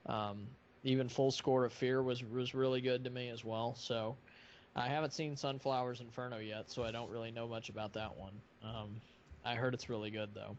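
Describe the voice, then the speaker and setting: A man hosting a casual live stream chat, talking in a rambling, halting way. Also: mid-range voice, steady tone. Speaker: live stream host of a fan dubbing project. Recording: muffled audio with light background noise.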